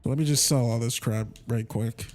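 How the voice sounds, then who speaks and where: A man's voice: mid-range voice, fairly even intonation, normal speed, darkish tone, soft background music. A man doing casual, slangy live gameplay commentary, thinking aloud as he plays. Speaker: video game livestreamer.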